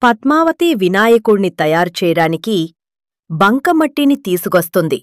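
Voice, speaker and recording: A woman's voice: mid-range voice, big pitch swings, normal speed, no room sound, studio quality. A woman narrating a scripted moral story. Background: background music.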